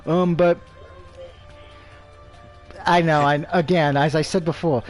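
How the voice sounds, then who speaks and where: A man's voice: a little high, steady tone, takes it slowly, soft background music. A man casually commentating on a live game stream, rambling and swearing, with opinions on everything and a speech impediment.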